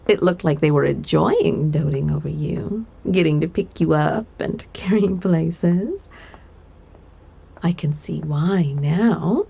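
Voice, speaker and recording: Breathy, doting giantess secretary, played by a woman. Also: lowish voice, full character voice. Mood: doting, teasing. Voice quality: breathy, soft, often slipping into whisper. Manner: tender, coy, hesitant, giggly asides. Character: gentle giantess secretary, doting, teasing, soothing, grown woman. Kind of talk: ASMR roleplay, intimate one-sided conversation.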